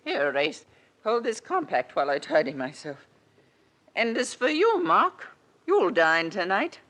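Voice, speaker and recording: A woman's voice: medium pitch, sing-song, unhurried, a bit bright. An actress playing a defiant, commanding lady of the house in a 1940s radio crime drama. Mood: grand, grateful.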